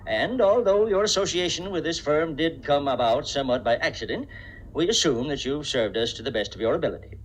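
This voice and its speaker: A formal, self-important office partner in an old radio play, played by a male actor. His pitch swings widely as he delivers stiff official phrases and falters, easily nonplussed. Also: animated, a little fast, slight background noise, natural voice, low-quality recording. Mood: pompous, halting. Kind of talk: 1950s radio comedy-drama acting.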